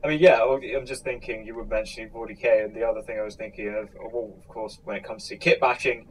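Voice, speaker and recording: A man's voice: lowish voice, steady tone, fast, rough recording. Wry male host with faint background noise. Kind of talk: casual chat.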